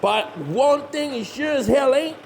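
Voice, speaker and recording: A man's voice: very high-pitched, animated, measured pace, gravelly, darkish tone, slight background noise, exaggerated voice. A man playing a cocky, crude backwoods monster hunter with a Southern US accent, sarcastic, folksy and bossy, in a comedy horror audio drama.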